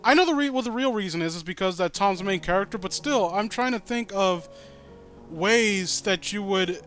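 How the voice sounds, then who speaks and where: A man's voice: sits high, moderate pace, fairly bright tone, soft background music. A man reading a story aloud with exasperated, incredulous, rambling and sweary commentary. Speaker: host reading and critiquing amateur horror fan fiction.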